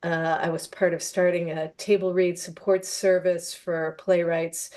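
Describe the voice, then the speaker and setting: Woman, panel guest, warm mellow timbre, slightly low pitch, conversational delivery. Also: flat delivery, a little slow. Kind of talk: conversational self-introduction on a panel.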